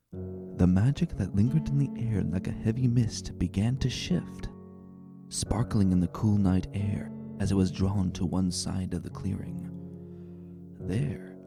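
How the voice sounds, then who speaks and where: A man reading a story as dramatic scripted narration, measured, deliberate and suspenseful. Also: sits low, vocal fry. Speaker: narrator of a fan fiction reading. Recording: roomy voice, faint music bed. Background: faint music.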